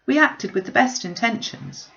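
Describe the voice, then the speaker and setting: A woman voicing a gentle, charitable, troubled young gentlewoman from a classic novel, earnest and measured. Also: on the high side, expressive, muffled recording, pitched above the performer's own voice.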